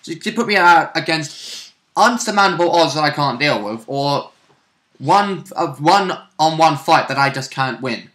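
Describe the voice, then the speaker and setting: British man, bright-voiced tabletop gamer. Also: fairly high pitch, animated, unhurried. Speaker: tabletop game player. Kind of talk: casual chat about a game story.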